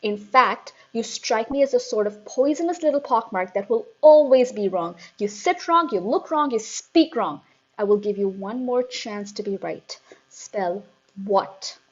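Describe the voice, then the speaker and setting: A woman's voice: mid-range voice, normal speed, few pauses, natural voice. A woman doing a barking bully of a headmistress. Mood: bellowing scorn. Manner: barking, shouting, contemptuous. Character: huge bullying female headmistress, furious and cruel. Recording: dull lo-fi recording.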